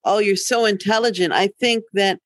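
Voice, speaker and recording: A woman's voice: medium pitch, normal speed, no room sound. An older woman co-hosting a podcast in casual conversation, candid and anecdotal with a dry joking streak. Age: older, over 50. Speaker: podcast co-host.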